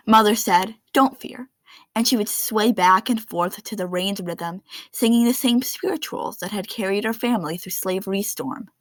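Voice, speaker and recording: A woman's voice: medium pitch, normal speed, no room sound. Woman reading a picture book aloud, close and dry. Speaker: read-aloud narrator of children's books.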